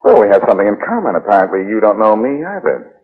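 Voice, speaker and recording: An actor playing a glib, wisecracking, flirtatious private detective in 1940s radio style. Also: male voice, lowish voice, big pitch swings, fast talker, husky. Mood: smooth teasing. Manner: glib, teasing banter. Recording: muffled, lo-fi 1940s radio recording.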